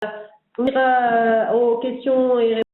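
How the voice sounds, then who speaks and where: A woman's voice: fairly high pitch, little pitch movement, takes it slowly, a little hiss or hum. A woman moderating a webinar and introducing the next part. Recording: echoey phone-like webinar audio.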